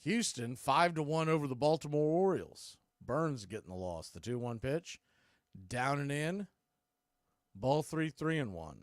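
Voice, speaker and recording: A man doing live radio-style baseball play-by-play as the announcer, making clipped pitch calls in short phrases. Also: lively intonation, a little slow, no room sound, clean studio sound.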